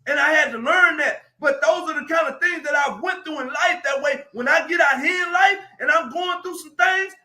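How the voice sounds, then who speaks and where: A man's voice: very high voice, moderate pace, few pauses, bright, forceful tone. A man, giving a preachy, emphatic motivational talk on a live stream and often raising his voice. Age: 40s. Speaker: motivational speaker.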